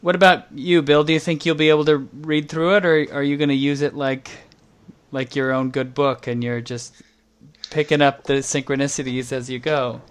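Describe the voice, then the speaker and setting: A man's voice: fairly high pitch, steady tone, normal speed, clear, resonant tone. A man hosting a podcast book club, putting questions to the members and reading a closing outro. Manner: guiding, asks members questions. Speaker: podcast host. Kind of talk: casual book club chat and scripted outro.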